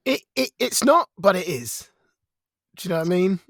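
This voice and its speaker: A man chatting casually as a podcast co-host, animated and often repeating short phrases. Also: sits high, normal speed, vocal fry, no echo, clean studio sound. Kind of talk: casual chat.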